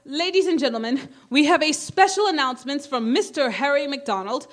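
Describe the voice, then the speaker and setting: An actress playing a grave, formal news announcer in a radio drama, reading bulletins in a measured way. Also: female voice, high-pitched, lively intonation. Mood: urgent, formal. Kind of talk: stage radio drama acting as newscaster.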